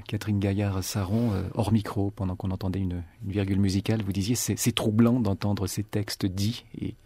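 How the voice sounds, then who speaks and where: Gravelly husky male radio host. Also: on the low side, mellow, no echo. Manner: measured, formal questioning. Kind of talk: radio interview.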